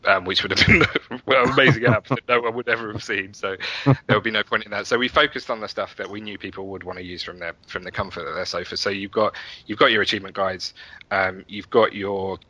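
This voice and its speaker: A man's voice: lowish voice, steady tone, fast talker, pushed and bright, dry recording, poor audio. A man speaking as an interview guest, chatting casually and telling stories with enthusiasm. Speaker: podcast guest, app and website developer.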